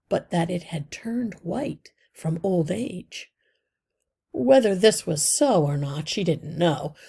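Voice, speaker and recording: A woman reading a children's novel aloud as an expressive storyteller. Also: mid-range voice, vocal fry, breathy edge. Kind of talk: expressive scripted narration for children.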